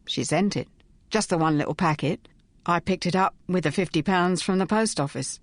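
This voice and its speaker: A woman reading as a scared young constable, halting and pleading, in a low, dry voice. He sounds cornered and tearful. It is a spoken storybook. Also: no room sound, lightly acted. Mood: fearful.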